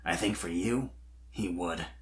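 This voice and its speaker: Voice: low voice, measured pace, a little hiss or hum, pitched below the performer's own voice. A performer voicing a shy, kind young male dragon in a fan fiction reading, nervous and hesitant. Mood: gentle. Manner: stammering, hesitant, bashful.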